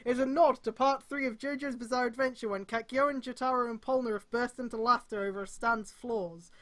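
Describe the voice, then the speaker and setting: A woman's voice: a little high, fairly even intonation, brisk. A woman reading fan fiction aloud on a stream, breaking off with exasperated mocking comments. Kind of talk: live dramatic read-aloud with mocking commentary.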